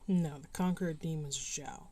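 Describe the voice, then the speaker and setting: A woman's voice: sits low, steady tone, brisk, warm tone. A woman giving casual livestream commentary on a video game, with dry, muttered asides. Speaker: game streamer. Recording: faint background noise.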